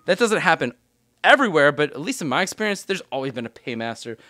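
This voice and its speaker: A man's voice: fairly high pitch, very animated, quick pace, bright, forceful tone, dry recording, studio quality. A man giving an explanatory tutorial as an instructor, talking informally through worked examples step by step. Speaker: accounting instructor.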